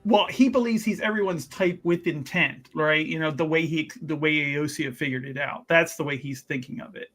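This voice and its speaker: A man's voice: a little high, moderate pace, few pauses, a bit bright, faint music behind. A man playing a tabletop roleplaying game, rambling in self-assured, improvised banter and casual table talk.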